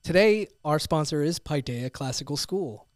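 A man's voice: on the high side, lively intonation, normal speed, dry recording, studio quality. A man hosting a talk podcast who chats casually and reads a sponsor plug in an upbeat way. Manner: brief agreeing replies, upbeat when plugging the sponsor. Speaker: podcast host.

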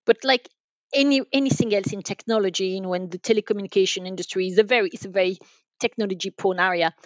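A woman explaining things as an expert guest in a podcast interview, haltingly, often repeating and rephrasing her phrases. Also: medium pitch, dry recording, highs cut off. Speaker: guest, enterprise sales manager.

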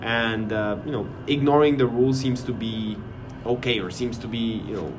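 A man's voice: medium pitch, normal speed. A man in a casual academic conversation, speaking hesitantly, repeating words and using many fillers. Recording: noisy background hiss.

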